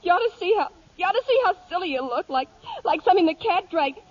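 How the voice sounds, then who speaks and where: A woman's voice: very high-pitched, animated, quick pace. An actress playing an emotional, fiery young frontier woman in a 1940s radio western, speaking emphatically. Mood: exasperated scolding. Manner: emphatic, scolding, repeats herself. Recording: muffled 1940s radio broadcast.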